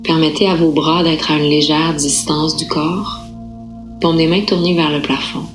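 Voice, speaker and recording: A woman yoga nidra instructor guiding a relaxation meditation in a calm, unhurried, soothing manner with gentle pauses. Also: sits low, music in the background.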